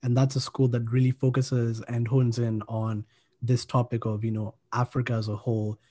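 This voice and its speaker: A man from Kenya gives conversational answers as an interview guest. His voice is very mellow and relaxed, at a medium pitch. He explains himself in a measured, casual way.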